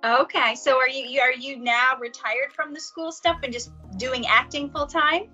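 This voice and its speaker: A woman with a high, bright voice hosts a casual interview. She asks chatty questions and keeps the talk friendly. The recording is dull and lo-fi, with faint music behind her. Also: fairly even intonation, normal speed. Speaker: host interviewer.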